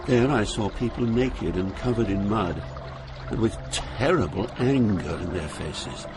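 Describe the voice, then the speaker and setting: An older actor with a British accent playing a grave poet, giving solemn, measured narration in an audio drama. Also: male voice, fairly low pitch, over music, close to an everyday voice, poor audio. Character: grave older poet recounting his journey through hell.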